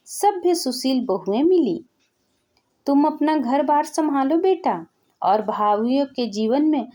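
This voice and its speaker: A woman's voice: high-pitched, mellow, full character voice. A woman storyteller voicing a stern widowed father who lectures and reproves his married daughter. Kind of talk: Hindi family storytelling.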